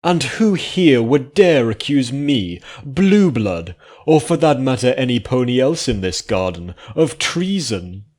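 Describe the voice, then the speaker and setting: A man's voice: medium pitch, very animated, measured pace, few pauses, a little mellow, voice pushed up. A man voicing a pompous, scheming unicorn prince, haughty and declamatory, in a dramatic story reading. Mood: indignant. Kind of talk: dramatic fan fiction reading.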